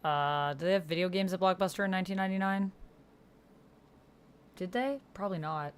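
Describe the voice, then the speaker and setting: A woman's voice: lowish voice, fairly quick, a little hiss or hum. A woman livestreamer talking casually and thinking aloud as she plays a text-based game and talks to her chat. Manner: reads and reacts aloud while talking to chat.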